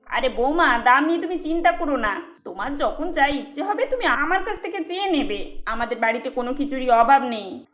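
A woman's voice: high voice, lively intonation, brisk, fairly bright tone, dull audio, faint music behind. A woman voicing a haughty middle-aged mother-in-law in an emphatic, melodramatic cartoon style. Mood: gushing, doting. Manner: emphatic, judgemental.

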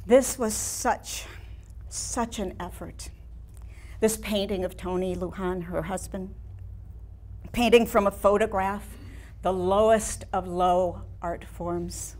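A woman in her sixties with an American accent performing a staged monologue as a wistful, reflective older painter looking back in measured, nostalgic phrases. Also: mid-range voice, steady tone, a little mellow, natural voice. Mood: wistful reminiscing. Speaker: playwright performing a staged reading. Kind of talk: theatrical monologue reading. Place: small studio room with seated audience.

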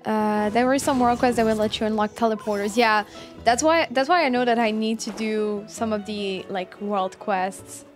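Female game streamer, twenties: slightly high voice, casual chat, teasing sarcasm, faint music behind. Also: normal speed. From France. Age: 20s. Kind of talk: casual live gameplay chat.

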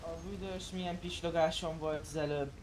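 A man's voice: on the high side, steady tone, crowd noise. A man chatting casually with friends while playing an online roleplay game. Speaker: gamer.

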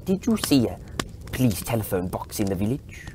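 A man's voice: lowish voice, sing-song, normal speed, a little mellow, a little hiss or hum. A man voicing an eccentric, courteous old time traveller, curious and musing in a genteel manner. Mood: enigmatic, wistful. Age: older. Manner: musing, genteel, with hesitant interjections.

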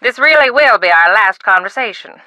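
Brief: sly older widow, game character, bright lively delivery, dry close sound. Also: female voice, mid-range voice, a little fast, dry recording. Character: eccentric widowed older woman, secretive. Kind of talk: video game voice acting.

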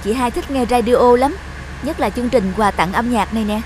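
A woman's voice: on the high side, normal speed, noisy background, lightly acted. A woman playing a tender, wistful young wife in a cartoon. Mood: fond. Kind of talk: cartoon dialogue.

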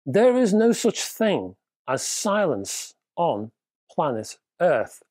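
A man's voice: a little high, sing-song, takes it slowly, a little mellow, no echo. Middle-aged man, congested cold voice, chatty and dry-humored, close dry lapel sound. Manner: chatty, wry, emphatic, leans on key words. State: stuffy nose, wheezy from a cold. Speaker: hi-fi reviewer, channel host. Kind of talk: casual to-camera review. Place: home room lined with record shelves. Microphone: lapel mic.

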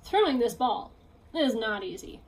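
A woman voicing an earnest, cautious, stern elephant in a children's picture book, speaking deliberately and with emphasis. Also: a little high, big pitch swings, pitched below the performer's own voice.

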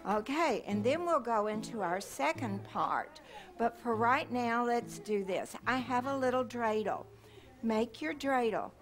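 A woman's voice: fairly high pitch, animated, a little slow. A middle-aged woman leading children in a sing-along, giving simple instructions and repeating phrases for them to echo. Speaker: children's music leader. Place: large indoor room, on stage before seated children.

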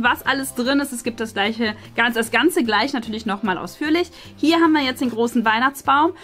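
High-pitched gushing woman, casual unboxing chat. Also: a bit bright. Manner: gushing, enthusiastic. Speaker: host unboxing an advent calendar. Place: small furnished indoor studio.

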